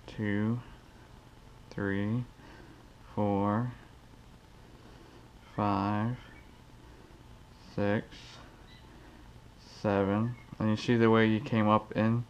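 Slow, low-voiced man demonstrating a knot. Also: highs cut off, slight background noise. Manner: slow, relaxed, counting steps aloud. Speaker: fishing channel host. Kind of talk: casual how-to demonstration. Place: cluttered garage, indoors. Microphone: head-mounted action camera.

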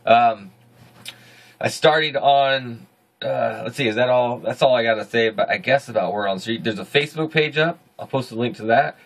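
A man in his thirties with a deep voice, hosting a casual video diary from a small home studio, rambling and correcting himself as he goes. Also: normal speed. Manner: rambling, self-correcting, upbeat. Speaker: video journal host.

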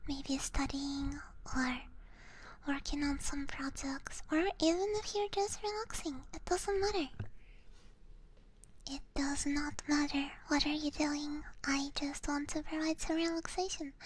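Whispering, high-voiced woman hosting ASMR. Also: a little hiss or hum. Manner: soft-spoken, mostly whispering. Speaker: live stream host. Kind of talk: whispered ASMR, casual chat. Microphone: close binaural ASMR microphone.

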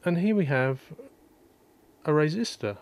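A man calmly explaining an electronics repair at his workshop bench, step by step, with frequent ums and uhs. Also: on the high side, measured pace. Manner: calm, methodical, many ums and uhs. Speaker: electronics restorer. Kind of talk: technical repair walkthrough.

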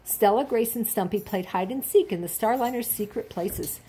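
A woman's voice: on the high side, normal speed, dark tone, breathy edge. An older woman storyteller reading a children's picture book aloud. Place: indoors, at a desk at home.

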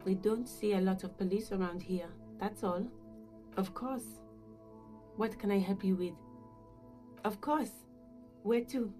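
A woman's voice: medium pitch, unhurried, long pauses, dark tone, soft background music, natural voice. An actress voicing a reserved, politely hesitant gardener woman in a video game, speaking in an understated way. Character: reserved working-class female gardener, uneasy, politely hesitant. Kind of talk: video game dialogue acting.

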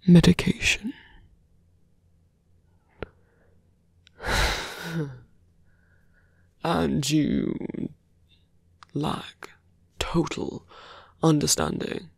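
A man voicing a suave, condescending fantasy villain and thief in a breathy, gravelly half-whisper for an ASMR roleplay. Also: on the high side, steady tone, no echo, studio quality, exaggerated voice. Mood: quiet, measured. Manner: slow, deliberate, teasing. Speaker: voice actor in roleplay audio. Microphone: close, dry microphone.

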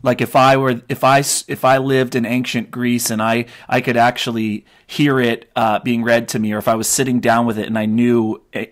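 A man in his thirties asks questions as a podcast host. His voice sits at an average pitch and stays level, with little melody, in a casual conversational style. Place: indoor room at home, video call.

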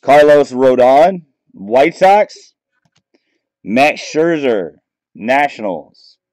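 A man talks slowly and easily as he runs through pack after pack, calling out names and teams in a relaxed, chatty way. His voice sits at an ordinary middle pitch. The sound is dry and close, with no room echo, from a camera mic on a table. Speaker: card break host. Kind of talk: casual commentary while opening trading card packs.

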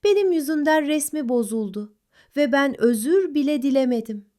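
Woman voicing a high-pitched, blunt little hedgehog. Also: expressive, moderate pace, mellow. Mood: worried, remorseful. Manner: blunt, careless, later apologetic. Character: restless, tactless, careless young hedgehog.

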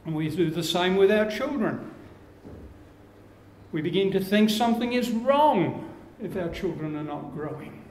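An older man with an Australian accent preaching a sermon, slow and measured, his phrases falling away at the ends. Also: high voice, lively intonation, a little mellow. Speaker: pastor. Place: church room with curtains. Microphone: lapel mic. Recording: echoey church room, faint background hiss.

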